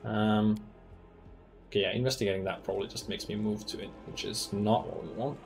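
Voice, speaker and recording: A man's voice: fairly low pitch, steady tone, a little fast, vocal fry, over music. A man streaming a fantasy roleplaying game who thinks aloud in casual commentary, with many ums as he weighs loot and rules.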